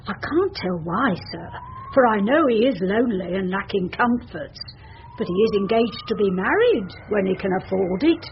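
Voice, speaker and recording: A woman's voice: medium pitch, lightly acted. An actress with an English accent playing a motherly, respectful caretaker's wife in a radio drama, politely insistent. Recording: muffled lo-fi radio, faint noise.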